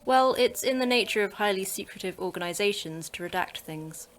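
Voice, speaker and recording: A woman's voice: medium pitch, animated, fairly quick, natural voice. A woman playing the terse, dry-witted manager of a secret facility in a sci-fi horror audio drama.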